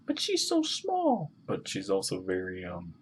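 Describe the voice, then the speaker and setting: A woman's voice: very deep voice, huge pitch swings, measured pace, slight background noise. A woman giving casual, playful live reactions to a game, interjecting as it plays.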